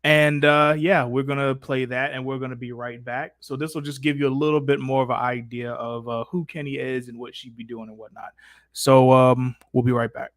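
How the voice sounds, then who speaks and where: A man's voice: mid-range voice, flat delivery, a little fast, no echo, studio quality. A man hosting a live stream in casual, playful, slangy banter as he takes questions from the chat. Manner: slangy, playful, informal y'all-heavy talk.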